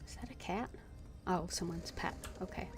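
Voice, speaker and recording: A woman's voice: lowish voice, moderate pace, over music. A woman giving casual gameplay commentary as a streamer, musing hesitantly and trailing off as she thinks aloud. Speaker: game streamer.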